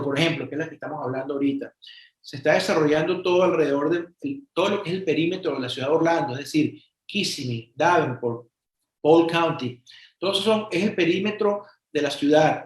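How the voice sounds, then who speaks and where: Man with a highish voice, echoey room. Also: fast talker. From Venezuela. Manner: informative, adding figures and asides. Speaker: co-presenter. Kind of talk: webinar presentation.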